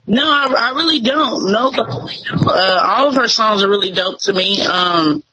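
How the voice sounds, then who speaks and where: A woman's voice: mid-range voice, lively intonation, a little slow, sharp tone, slight background noise, low-quality recording. A young woman, talking in a laid-back, slangy way as a radio host being interviewed. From Tyler, Texas. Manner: laid-back slangy talk, many fillers. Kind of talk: casual interview chat.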